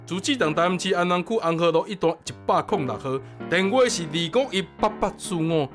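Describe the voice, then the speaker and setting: A man from Tainan with a Taiwanese accent and a slightly high voice. He talks casually as on a podcast, repeating phrases to drive them home. Music plays clearly behind him. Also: expressive, fairly quick. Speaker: podcaster guest. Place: coffee shop.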